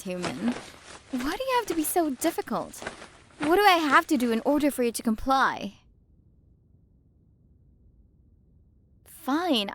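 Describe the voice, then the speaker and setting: A woman's voice: fairly high pitch, no room sound. A woman playing a haughty, possessive alien queen and scientist who talks down in a commanding, teasing way, in an ASMR roleplay. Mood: indignant, exasperated.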